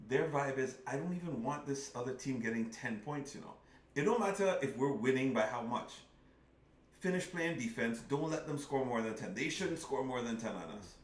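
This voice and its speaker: A middle-aged man lays out his sports argument point by point, earnest and insistent. His voice sits at an ordinary pitch. The mic is set back in an echoey room. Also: lively intonation, moderate pace, recorded from a distance. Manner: earnest, argumentative, builds his case step by step. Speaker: podcast co-host. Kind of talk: casual sports debate.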